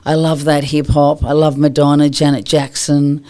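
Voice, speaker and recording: Woman from Australia, husky smooth voice, lively studio guest. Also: low voice, normal speed. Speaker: guest, yoga teacher. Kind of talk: casual podcast interview.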